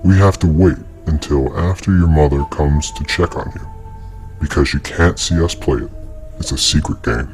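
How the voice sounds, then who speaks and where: A man's voice: extremely low pitch, moderate pace, a little mellow, faint music behind, voice pushed down. A man voicing a small, sinister creature who poses as a child's friend in a horror story, secretive, coaxing and insistent.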